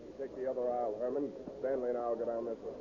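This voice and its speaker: An actor playing an insistent, bossy police officer with tough, slangy 1930s radio delivery. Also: male voice, mid-range voice, flat delivery, fast talker, noisy background, natural voice. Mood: businesslike. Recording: thin, tinny 1930s radio recording.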